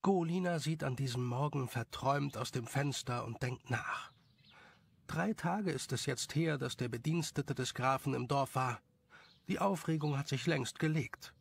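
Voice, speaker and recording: A man reading scripted story narration as the narrator, with a creaky voice. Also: mid-range voice, unhurried.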